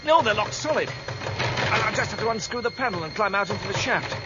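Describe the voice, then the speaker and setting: Radio drama: sardonic boss on a perilous climb, animated, high male voice, halting, muffled noisy recording. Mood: uneasy.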